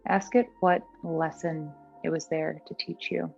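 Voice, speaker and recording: Low, creaky-voiced woman guiding meditation. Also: steady tone, lo-fi sound. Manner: gentle, soothing, unhurried instruction. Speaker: meditation guide. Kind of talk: guided meditation. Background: background music.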